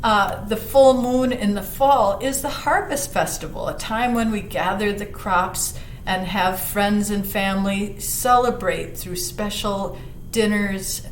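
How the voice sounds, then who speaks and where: A woman reading a picture book aloud to children, expressive, putting questions to her young listeners and dropping into hushed asides. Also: medium pitch, takes it slowly. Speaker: children's library storyteller. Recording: roomy echo, some background noise.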